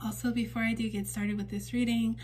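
A woman with a temporarily raspy voice giving a casual spiritual card reading to camera at home, gentle and reassuring with frequent fillers. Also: on the high side, little pitch movement, a little fast, slight background noise. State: raspy voice for a few days. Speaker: tarot reader and channel host. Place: indoors at a table in a home room.

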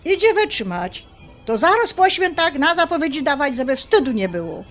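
An actress playing a bossy, pious middle-aged mother with a Polish highlander accent and a blunt, scolding manner in a radio drama. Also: sing-song, moderate pace, fairly bright tone, soft background music. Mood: bossy, grumbling. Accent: Polish highlander (Podhale).

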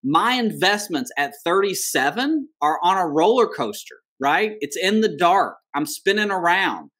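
A man in his thirties, a financial advisor, walking viewers through a retirement plan in a relaxed, conversational way, talking with his hands from a home office. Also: high voice, a little slow. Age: 30s. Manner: conversational, animated with hand gestures. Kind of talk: explaining retirement planning on screen share.

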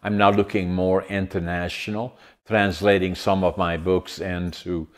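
A man's voice: low-pitched, flat delivery, unhurried. A man in his eighties with a Dutch accent, hosting a casual interview over a video call, with many fillers and restarts. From Netherlands. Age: 80s. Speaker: podcast host. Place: home room with large window.

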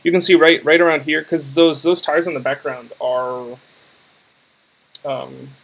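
A man's voice: fairly high pitch, fairly even intonation, unhurried, gravelly, a bit bright, poor audio. A man giving an informal, rambling screen-recording walkthrough of his visual effects work, hesitant and thinking aloud. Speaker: visual effects artist presenting work in progress.

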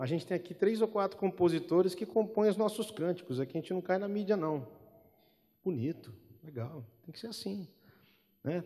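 A man's voice: a little high, dark tone. A middle-aged man from Brazil with a Brazilian accent, a gospel singer and guitarist talking in a chatty, wry way between songs and tagging his lines with a questioning "né?". He speaks into a stand mic on a church hall stage. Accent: Brazilian Portuguese. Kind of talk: relaxed spoken interlude between songs at a church concert. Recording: long hall reverb with music behind.

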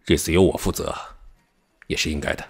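A man playing a serious, dogged middle-aged police detective in an audio drama, speaking in a measured, firm way. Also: a bass voice, fairly even intonation, creaky, slightly rough, soft background music, exaggerated voice. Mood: weary, resolute. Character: dogged veteran police detective leading a murder case. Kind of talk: Chinese audio drama acting.

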